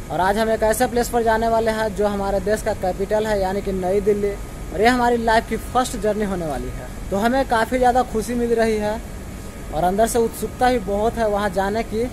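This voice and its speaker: A man in his twenties from India talking casually to the camera as a travel vlogger, recorded on a handheld phone. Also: high voice. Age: 20s. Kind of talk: casual vlog narration to camera. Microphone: phone at arm's length. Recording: noisy phone recording, street and vehicle ambience.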